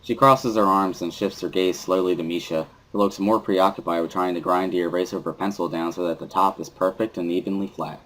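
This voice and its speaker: A man reading a first-person story as a scripted dramatic narration, in an introspective, measured way. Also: low voice, steady tone, a bit bright, low-quality recording.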